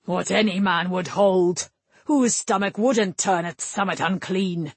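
Female narrator as hot-tempered ageing king, gruff and husky, commanding, rustic turns of phrase, fantasy audiobook. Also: fairly low pitch, unhurried, no room sound. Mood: furious, commanding. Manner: rustic dialect words, blustering, commanding. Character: massive grey-haired warrior king, gruff, domineering, hot-tempered father.

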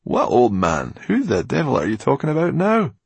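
A man's voice: low-pitched, moderate pace, gravelly, a little mellow, breathy edge, dry recording, full character voice. A man voicing a wry, weary, secretive fire performer with a sardonic, muttering manner in an audiobook. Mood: exasperated. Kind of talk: audiobook character dialogue.

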